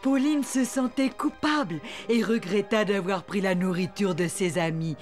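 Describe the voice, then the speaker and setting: A woman playing a gentle storyteller, narrating an animal tale in a French children's cartoon. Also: medium pitch, normal speed, soft background music, lightly acted.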